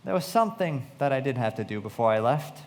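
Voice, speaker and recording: A man's voice: mid-range voice, animated, fast talker, natural voice. An actor playing an earnest, disillusioned young man who narrates a radio play. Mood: reflective. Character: earnest, disillusioned young man recalling his friend's death. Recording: reverberant hall.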